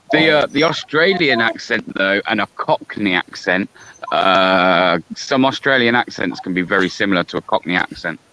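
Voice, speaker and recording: A man's voice: on the low side, animated, a little slow, sharp tone, a little hiss or hum, rough recording. A British man chatting casually on a live stream, jokey and laid-back. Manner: jokey, laid-back, says bro and mate. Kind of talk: casual chat.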